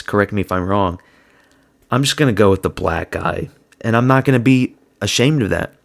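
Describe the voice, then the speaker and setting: A man giving a blunt, crude, slang-heavy solo review of fight results. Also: medium pitch. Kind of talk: casual solo commentary.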